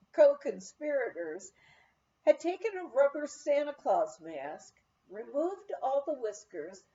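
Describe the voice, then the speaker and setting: A woman reading an anecdotal memoir aloud in a measured, expressive storytelling manner. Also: fairly high pitch, lo-fi sound. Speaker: audiobook reader of a memoir.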